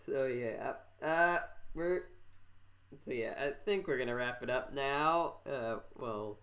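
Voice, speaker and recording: A man chats as the host of a casual podcast. His voice sits at a middle pitch. He rambles in a halting way, circling back and repeating phrases as he goes. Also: a bit bright.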